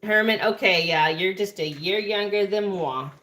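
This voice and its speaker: A woman's voice: mid-range voice, moderate pace, a bit bright. A woman in her forties with a West Texas accent, chatting casually on a home livestream while serving breakfast and greeting viewers by name. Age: 40s. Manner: chatty, affectionate, reads out viewer names between serving food. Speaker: livestream co-host. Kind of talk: casual kitchen chat on a livestream. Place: home kitchen.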